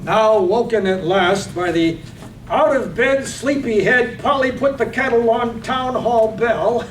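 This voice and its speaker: A man playing the storyteller of a poetic village drama, reading in a measured, literary manner. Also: very high voice. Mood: lyrical, measured. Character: lyrical storyteller of a small village's morning. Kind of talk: poetic scripted narration, read on stage. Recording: echoey room, distant mic, faint noise.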